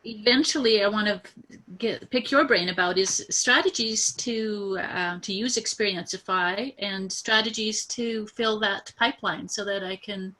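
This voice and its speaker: Nervous woman, bright and hesitant. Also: medium pitch, a little slow, rough recording. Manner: hesitant, many fillers and restarts. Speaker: coaching client. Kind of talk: pitching a business idea on a video call. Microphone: video call.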